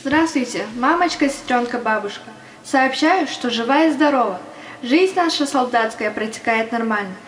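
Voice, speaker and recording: Woman as a high-voiced young girl soldier. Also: lively intonation, normal speed, close to an everyday voice, low-quality recording. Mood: earnest recollection. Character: young woman soldier, naive and stubborn, recalling the war. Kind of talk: audio play monologue. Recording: faint background noise and ambience.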